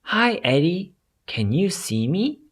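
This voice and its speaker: A storybook narrator voicing a small, friendly butterfly fairy who speaks gently and cheers the listener on. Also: medium pitch, very animated, slow pace, voice pushed up.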